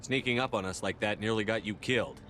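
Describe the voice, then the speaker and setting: A man acting the stern officer questioning survivors at a sci-fi game's battle site, terse and quick, faint ambience behind. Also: lowish voice, fairly bright tone, natural voice. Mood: probing. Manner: terse, businesslike, interrogating. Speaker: video game voice actor. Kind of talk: cinematic sci-fi game dialogue. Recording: faint background noise.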